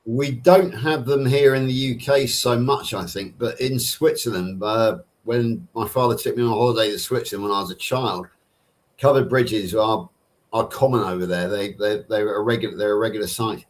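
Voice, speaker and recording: A man's voice: on the low side, normal speed, rough recording. Chatty man with a Southern English accent. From Somerset, England. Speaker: panel participant on a livestream. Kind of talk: casual live chat, answering viewers' questions.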